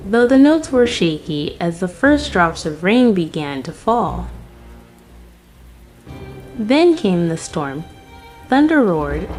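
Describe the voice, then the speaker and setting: A woman's voice: mid-range voice, a little mellow. A woman narrating a scripted fairy tale for children as a storyteller.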